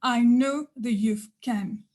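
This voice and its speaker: A woman's voice: fairly high pitch, takes it slowly, darkish tone. A woman from Mauritius reading a firm, earnest prepared statement.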